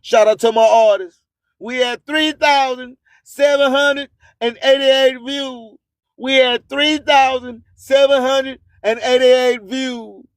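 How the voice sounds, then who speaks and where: A man's voice: very high voice, steady tone, takes it slowly, smooth, no echo. A man hosting an internet radio show in an emphatic, declamatory hype style, repeating slogans.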